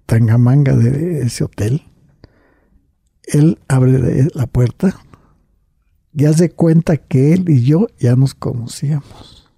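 A man's voice: mid-range voice, warm tone, breathy edge, no echo, studio quality. An older man recounting personal memories in conversation, often adding "right?" and "you see?" and restarting his phrases.

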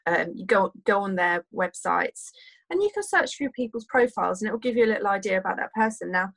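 A woman's voice: mid-range voice, lively intonation, brisk, creaky, fairly bright tone, rough recording. A woman, a doula, answering podcast questions casually with many fillers and restarts while losing her voice. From United Kingdom.

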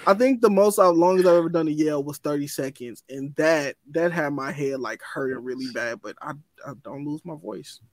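A young adult man, a voice actor, talking in an animated, excitable way with stammered restarts in a casual podcast chat. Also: a little high, moderate pace, hardly any pauses, no room sound. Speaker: voice actor guest.

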